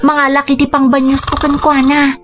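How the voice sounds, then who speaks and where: Woman acting as dutiful youngster, high voice, earnest, concerned, devoted grandchild, radio drama. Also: completely flat delivery, normal speed, lightly acted.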